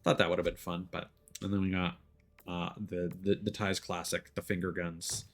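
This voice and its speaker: A man's voice: low-pitched, little pitch movement, moderate pace. A man streaming a video game, giving casual, rambling, informal commentary as he explains how it works. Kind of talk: casual let's-play commentary.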